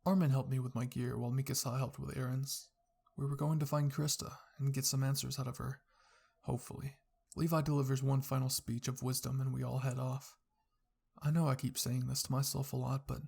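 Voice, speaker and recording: Narrator for scripted ASMR roleplay fiction; raspy voice with fry. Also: mid-range voice, flat delivery, brisk, a little mellow. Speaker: narrator reading fan fiction.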